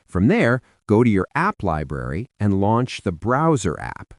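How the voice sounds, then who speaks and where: A man's voice: lowish voice, wildly swooping pitch, unhurried, no room sound, clean studio sound. A man narrating a scripted how-to guide in an instructional, step-by-step way with informal asides. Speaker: tutorial narrator.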